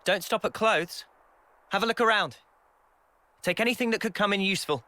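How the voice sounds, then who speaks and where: A man playing a calm, earnest young squad leader in a video game, measured and conciliatory. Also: high voice, no room sound.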